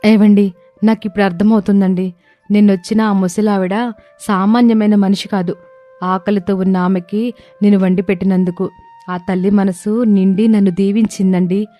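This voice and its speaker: A woman playing a kind, pious young village daughter-in-law in a moral story, with gentle, earnest phrasing. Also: medium pitch, flat delivery, normal speed, soft background music, close to an everyday voice. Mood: awed, earnest. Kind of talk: acted moral story dialogue.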